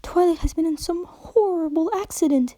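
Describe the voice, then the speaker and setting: A refined, polite pony lady with a raised, careful voice, as a woman reads her. Her warm tone sits in a dry, close storybook recording. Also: very high-pitched, normal speed, no echo, voice pushed up. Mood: polite concern. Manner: polite, concerned.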